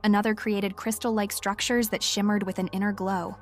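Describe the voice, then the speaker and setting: A woman's voice: medium pitch, level tone, brisk, close to an everyday voice. A woman playing an obsessed, frightened scientist confiding intensely and hesitantly in a spoken audio-drama log. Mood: awed unease. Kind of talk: dramatic monologue as spoken diary. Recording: music under the voice. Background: music.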